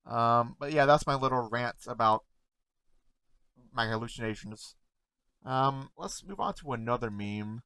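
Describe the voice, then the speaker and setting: Man stuttering, halting over words. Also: medium pitch, bright, forceful tone, clear, resonant tone, dry recording. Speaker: content creator reacting to memes. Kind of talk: casual talk to camera.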